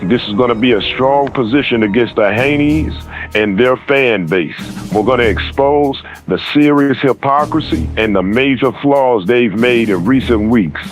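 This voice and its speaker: A man's voice: mid-range voice, normal speed, faint music behind. A man giving opinionated boxing commentary, emphatic and repeating his points.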